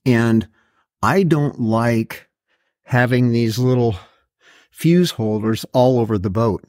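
A middle-aged man narrating a hands-on how-to in a deliberate, matter-of-fact way. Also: mid-range voice, darkish tone. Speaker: host of a DIY boat install video.

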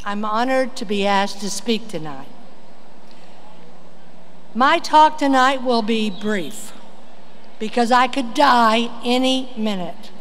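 Slow-speaking woman in her seventies, high-pitched. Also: lively intonation, fairly bright tone. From Texas. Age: 70s. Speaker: commencement guest speaker. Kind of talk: prepared humorous commencement speech. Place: large auditorium stage at a commencement. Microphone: podium microphone. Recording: reverberant hall with background noise.

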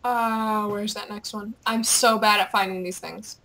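A young woman gamer with a playful, excitable, teasing manner, chatting over gameplay and reading game dialogue aloud. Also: mid-range voice, measured pace, low-quality recording. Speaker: gamer, video host.

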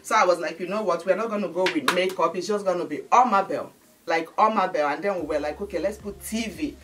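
A woman's voice: lowish voice, fast, distant mic. A woman in her thirties from Nigeria, speaking with a Nigerian accent, chatting animatedly to camera in a home studio and calling her viewers guys. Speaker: beauty and lifestyle vlogger. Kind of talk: casual chat to camera. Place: bright indoor home studio.

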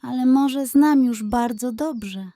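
Lady doing a little girl seal for a kids story, high voice, dreamy n eager. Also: slow pace, mellow, dry recording. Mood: earnest longing. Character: small dreamy young female seal, eager and wistful.

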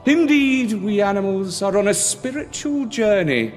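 A man voicing a sly, flattering fox with theatrical, smooth talk in a children's storybook. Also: sits high, measured pace, faint music behind, voice pushed up. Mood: unctuous, preachy.

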